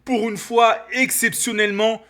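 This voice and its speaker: A man with a cold hosting a football channel, talking to viewers in an animated, opinionated, casual way from his living room. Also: very high voice, a little slow. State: has a cold. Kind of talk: casual opinion commentary to camera.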